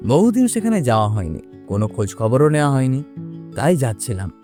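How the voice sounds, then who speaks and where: A man playing a young man who recounts his own eerie experience in an expressive, suspenseful audio horror drama. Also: medium pitch, a little fast, soft background music, natural voice. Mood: suspenseful, earnest. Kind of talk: dramatic first-person audio horror storytelling.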